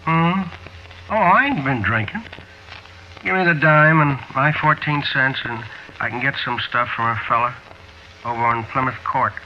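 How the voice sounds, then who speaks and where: An actor playing a slow-witted older American panhandler in a 1940s radio drama, pleading and shivering, with a hesitant, vague manner. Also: male voice, medium pitch, natural voice. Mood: cold, stubborn. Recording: noisy, muffled 1940s radio recording.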